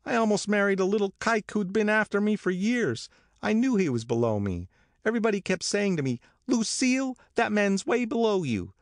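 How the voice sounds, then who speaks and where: Man putting on a shrill, snobbish married woman. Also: sing-song, normal speed, no echo, studio quality. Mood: indignant, boastful. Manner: vigorous, insistent.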